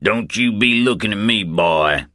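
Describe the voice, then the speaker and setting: A man's voice: lowish voice, animated, unhurried, pushed and bright, no echo, studio quality, pitched above the performer's own voice. A man voicing an aggressive, heavyweight bully in a bar, taunting and menacing, in an audiobook. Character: aggressive, meaty heavyweight Texan barroom bully.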